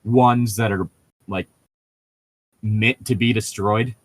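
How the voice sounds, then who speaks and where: A man co-hosting a casual chat, rambling through stories in an excitable, profane and laughter-prone way. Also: lowish voice, takes it slowly.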